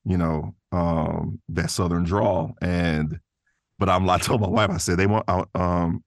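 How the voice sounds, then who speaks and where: A man's voice: low voice, fairly even intonation, a little slow, creaky, no echo, clean studio sound. A man speaking informally as a guest voice actor in a casual interview, chuckling between phrases.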